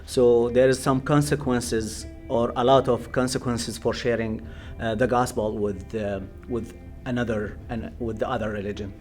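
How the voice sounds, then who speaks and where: A man with an Iraqi accent gives his testimony as a pastor over music. His voice sits at a middle pitch, and he speaks haltingly, often searching for the next word. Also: level tone, darkish tone. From Iraq. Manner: halting, hesitant, searching for words. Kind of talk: personal testimony in an interview.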